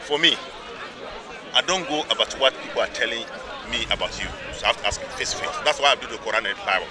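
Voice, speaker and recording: A man's voice: mid-range voice, fast. A man, arguing insistently in a heated street debate and repeating himself to hold the floor. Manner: insistent, repeats "listen" over and over. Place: outdoor public speaking area. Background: crowd of onlookers.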